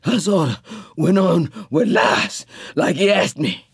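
An urgent young man, as a male reader voices him, drives his friends onward with commands. His voice is raised and forceful, with a gravelly rasp. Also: sits high, expressive, slow pace, no room sound, clean studio sound, pitched above the performer's own voice, full character voice. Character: urgent young man leading others from a flood.

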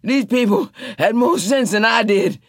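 A man's voice: very high-pitched, a little slow, no echo, studio quality, pitched above the performer's own voice, heavily acted. A man voicing an urgent young man, commanding others to flee a flood.

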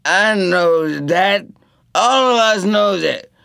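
A man doing a loud, belligerent drunk. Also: a little high, lively intonation, slow, no room sound, studio quality. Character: rowdy drunk young man spoiling for a fight.

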